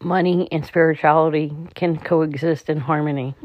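A meditation guide speaking in a slow, measured, instructive way with pauses, narrating a guided relaxation. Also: mid-range voice, fairly even intonation, dry recording, highs cut off.

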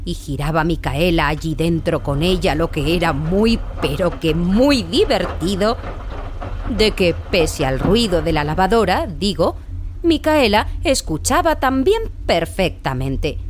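A woman's voice: on the low side, animated, measured pace, slight background noise. A woman narrating a children's radio tale playfully, repeating words for effect. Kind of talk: scripted children's story narration.

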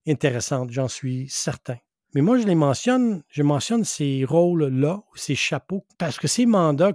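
A man's voice: on the high side, dark tone, no echo, clean studio sound. A middle-aged man from western Canada whose first language is English, hosting a solo podcast as a public speaking coach. He talks earnestly and emphatically, repeating key phrases for weight.

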